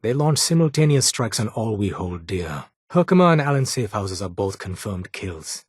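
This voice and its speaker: An actor playing a weary, condescending underground doctor in a video game, speaking in a clipped, formal, sardonic way. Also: male voice, on the low side, sing-song, normal speed, husky, dry recording, studio quality. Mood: grave.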